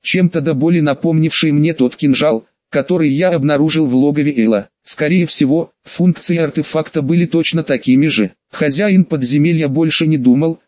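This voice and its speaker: Higher-pitched male audiobook narrator, dry sound. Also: normal speed, hardly any pauses, no echo, highs cut off. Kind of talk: scripted fantasy story narration.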